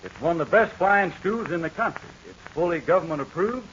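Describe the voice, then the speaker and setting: Young male guest, earnest and lively, old radio with faint hiss. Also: sits high, a little fast, muffled recording. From North Carolina. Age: young adult. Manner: polite, earnest. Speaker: guest student pilot. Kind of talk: scripted radio interview.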